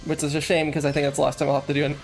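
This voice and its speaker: A man's voice: fairly high pitch, completely flat delivery, very fast. Hesitant man streaming a game. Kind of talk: casual gameplay commentary. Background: game music.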